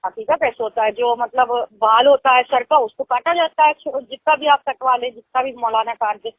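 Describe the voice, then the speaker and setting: A woman's voice: fairly high pitch, moderate pace, no echo. A young woman with a Lucknow Hindi accent, chatting casually on a phone call and explaining things at length. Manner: explains at length, laughs easily. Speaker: phone caller. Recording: tinny phone call recording.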